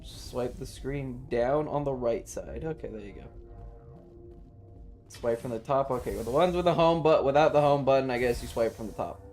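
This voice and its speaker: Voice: medium pitch, normal speed, a little mellow, faint music behind. A streamer casually commenting on a game and chatting with viewers in a rambling, playful, profane manner. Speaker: live streamer.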